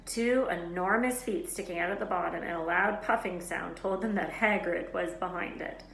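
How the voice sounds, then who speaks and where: A woman reading a children's novel aloud as an expressive storyteller. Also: fairly low pitch, normal speed, creaky. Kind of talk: expressive scripted children's book narration. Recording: echoey room with faint noise.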